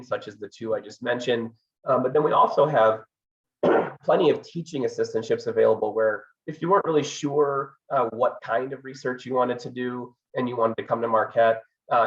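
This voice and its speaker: A man giving a research talk as an engineering professor, hoarse from a cold, speaking hesitantly with many fillers. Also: medium pitch, highs cut off. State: hoarse, getting over a cold.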